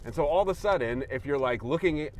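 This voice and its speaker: A man's voice: fairly high pitch, animated, fast talker, a little hiss or hum, low-quality recording. A man in his forties, hosting a casual chat outdoors on a golf course, telling rambling stories full of "like" and "you know". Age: 40s. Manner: rambling, filler-heavy storytelling. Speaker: host.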